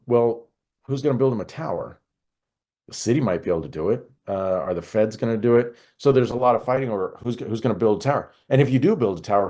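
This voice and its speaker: An American man explaining things conversationally as an expert interview guest, in a halting way with frequent pauses and repetitions. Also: lowish voice, darkish tone. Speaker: podcast guest, air traffic control expert.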